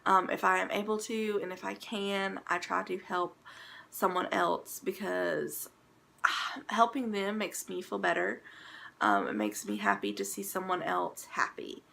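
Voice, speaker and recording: A young woman talks straight to camera from a bedroom at home, her voice stuffy and congested. The tone is bright with a gravelly fry underneath. She rambles in a chatty, casual way, filling gaps with ums. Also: mid-range voice, unhurried. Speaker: vlogger. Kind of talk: casual talking-to-camera vlog.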